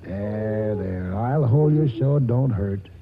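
A man's voice: fairly low pitch, lively intonation, slow pace, crowd noise. An actor playing a devoted old grandfather, folksy and tender, in a 1940s radio drama. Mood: anguished. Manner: folksy, homespun. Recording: muffled vintage 1940s radio broadcast.

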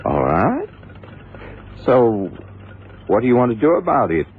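A man's voice: mid-range voice, wildly swooping pitch, a little slow. A man acting a cocky, defiant clockmaker in a radio drama, challenging and evasive in his talk. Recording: tinny, narrow-band, noisy old radio recording.

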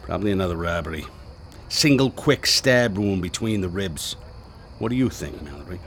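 Cynical Boston cop sergeant, bossy, gruff delivery, animated pitch, old-time radio drama, faint noise. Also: male voice, normal speed. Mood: dry, cynical. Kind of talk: 1920s-style radio drama acting.

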